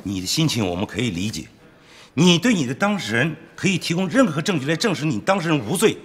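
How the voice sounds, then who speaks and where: An actor playing a firm, by-the-book middle-aged court clerk in a film drama, in a large hall. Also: male voice, fairly high pitch, very animated, fast, husky. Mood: firm, official. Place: large public office hall. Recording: long hall reverb, music behind.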